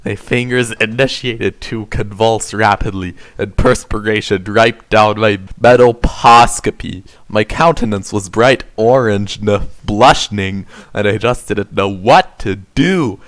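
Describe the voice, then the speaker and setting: A man's voice: on the low side, measured pace, hardly any pauses, a bit bright. A man narrating an amateur dramatic reading of fan fiction, delivering overwrought prose in a mock-serious way.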